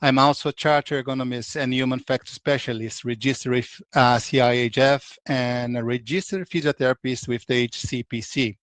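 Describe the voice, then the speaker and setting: A man's voice: medium pitch, steady tone, fairly quick, few pauses, fairly bright tone, no echo. Brazilian man presenting a webinar. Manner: conversational, with frequent restarts and "like". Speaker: ergonomics consultant presenting.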